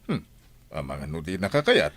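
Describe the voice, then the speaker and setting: A stern, blunt village man, with a fairly low voice. He speaks in the dramatic style of a radio play. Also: wildly swooping pitch, moderate pace, fairly bright tone, exaggerated voice. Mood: fatherly, probing. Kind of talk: Ilocano radio drama acting.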